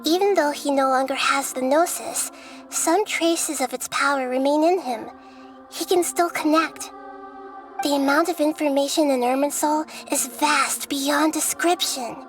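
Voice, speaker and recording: A woman voicing a young, gentle female goddess of wisdom who explains things in a calm, measured way. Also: high voice. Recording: game audio with faint music.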